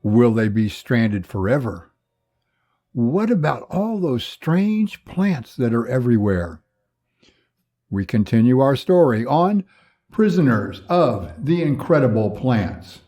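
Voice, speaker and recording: A man's voice: mid-range voice, very animated, slow pace, dark tone. A man narrating an adventure serial in a suspenseful, theatrical manner. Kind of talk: dramatic serial story narration.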